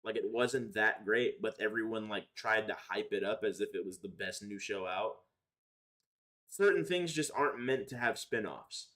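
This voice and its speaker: A man's voice: fairly low pitch, normal speed, a bit bright. A young man chatting casually on a livestream, answering viewers in slangy, profane, opinionated talk. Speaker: live streamer.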